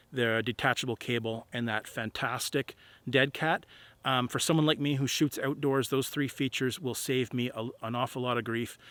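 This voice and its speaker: A man's voice: medium pitch, fairly bright tone, dry recording. Plain-spoken man reviewing gear outdoors. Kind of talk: casual product review to camera. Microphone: on-camera shotgun microphone.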